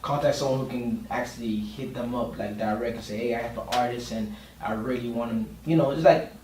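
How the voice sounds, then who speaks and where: A man's voice: mid-range voice, brisk, darkish tone. A man giving a casual podcast interview as a guest, speaking informally with plenty of slang. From Miami, Florida, USA. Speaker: guest singer and producer. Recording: roomy, distant mic, faint noise.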